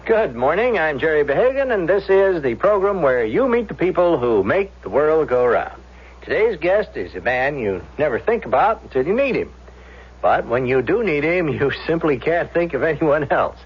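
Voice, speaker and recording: An actor playing a polished, folksy local TV interview host in a radio drama, with a slightly husky voice. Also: male voice, a little high, big pitch swings, normal speed. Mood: chipper. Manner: presenterly, folksy showmanship. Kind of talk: 1970s radio drama acting. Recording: muffled vintage radio broadcast.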